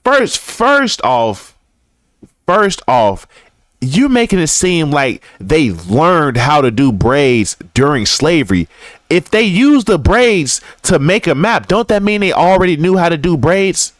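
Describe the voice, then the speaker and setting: A man's voice: fairly high pitch, sing-song, slow pace, no echo. An American man giving casual, slangy reaction commentary as a co-host, sounding mocking and exasperated.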